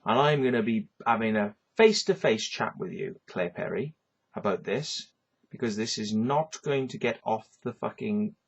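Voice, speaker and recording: A man's voice: lowish voice, animated, normal speed, creaky, breathy edge, highs cut off. A man with a Welsh accent delivering a rambling, swearing rant, tagging lines with "yeah" and "right". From Wales. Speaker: vlogger. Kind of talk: ranting monologue to camera.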